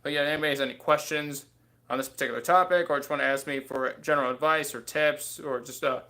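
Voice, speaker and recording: Fast rambling man on a livestream. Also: a little high, steady tone, bright, forceful tone. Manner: rambling, many fillers and "too". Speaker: livestream host. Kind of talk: casual livestream chat. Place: small bedroom indoors. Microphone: webcam on desk, close.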